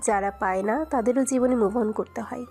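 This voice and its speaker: A woman's voice: on the high side, moderate pace, darkish tone, slightly rough, soft background music. A woman playing a resigned, selfless young woman accepting lost love in an audio romance. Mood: wistful, resigned. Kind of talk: audio story acting.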